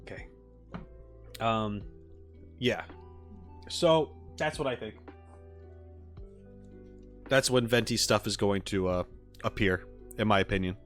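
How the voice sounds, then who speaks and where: A man's voice: on the low side, takes it slowly, long pauses. A man chatting casually as a livestream host, thinking aloud in short, informal, trailing phrases. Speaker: streamer and reaction host. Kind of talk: casual livestream chat, musing about a game. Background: background music.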